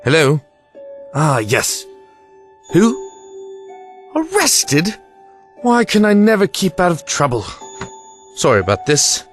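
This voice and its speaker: A man plays a rueful time-travelling adventurer in an audio drama. He speaks slowly with big swings in pitch, over faint music. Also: huge pitch swings, heavily acted.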